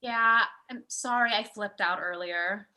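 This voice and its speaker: A woman's voice: medium pitch, measured pace, pushed and bright. A woman playing a chirpy, apologetic young female friend in a comedy table read over a video call. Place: room at home, video call. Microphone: video call computer microphone.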